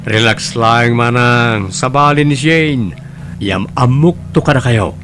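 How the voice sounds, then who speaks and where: A man's voice: medium pitch, slow pace, noisy background, natural voice. A man playing a carefree, flippant young womanizer in an audio drama, with an Ilocano accent. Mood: breezy, evasive. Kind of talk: Ilocano radio-style audio drama acting.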